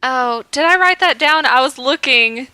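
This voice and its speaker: A woman's voice: sits high, normal speed, sharp tone, smooth, no room sound. A woman co-hosting a podcast in casual chat, giggly and enthusiastic as a fan. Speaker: podcast co-host.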